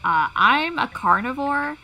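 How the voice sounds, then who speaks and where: A woman's voice: sits high, big pitch swings, unhurried, sharp tone, poor audio. A woman chatting casually on a livestream, giggly and amused at herself. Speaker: livestream panel guest, fan story creator. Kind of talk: casual chat.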